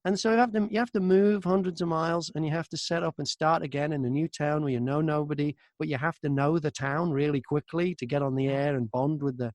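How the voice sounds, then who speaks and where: Man, higher-pitched, very dry close sound. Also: fairly even intonation, fast, few pauses, darkish tone, no echo. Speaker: audiobook narrator and radio broadcaster. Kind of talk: casual interview storytelling.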